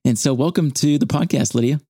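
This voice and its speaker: A man hosting a studio podcast interview, measured and earnest, with church phrasing. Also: medium pitch, mellow, slightly rough, dry recording. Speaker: podcast host, campus ministry staff.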